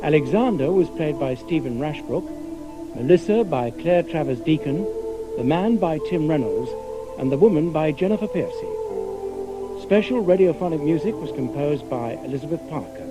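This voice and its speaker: A man's voice: fairly high pitch, expressive, normal speed, low-quality recording. A man reading formally from a script as a radio announcer. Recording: 1980s radio broadcast, loud music behind. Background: loud music.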